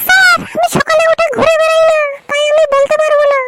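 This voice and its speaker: A woman voicing a sharp-witted schoolgirl in a comedy cartoon, with a shrill voice. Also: fairly even intonation, fast, faint music behind. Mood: smart-aleck.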